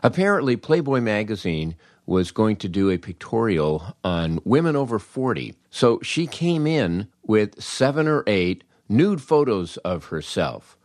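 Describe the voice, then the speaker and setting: An older American man tells funny stories as a podcast host. His voice sits on the low side, with a wry, understated delivery. The recording is close and dry, with no room sound. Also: sing-song, measured pace, few pauses, darkish tone, no room sound. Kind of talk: comic anecdotal storytelling.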